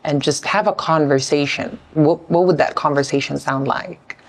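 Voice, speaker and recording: A woman with a low, steady voice conducts an interview. She is from South Sudan and speaks thoughtfully, often repeating a word while she works out her question. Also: normal speed. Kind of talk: conversational interview.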